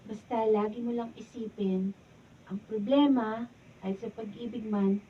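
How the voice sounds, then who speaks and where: A woman's voice: fairly high pitch, steady tone, measured pace, slight background noise, close to an everyday voice. A woman with a Filipino accent acting a supportive young best friend in a radio drama, encouraging and chatty. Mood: friendly, light. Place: radio drama recording session. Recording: distant, dull camera audio.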